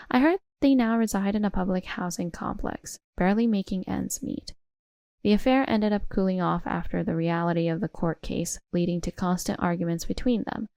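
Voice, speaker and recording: A woman's voice: medium pitch, vocal fry, warm tone, no echo, clean studio sound. A woman reading a scripted first-person family drama as its narrator. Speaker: story narrator.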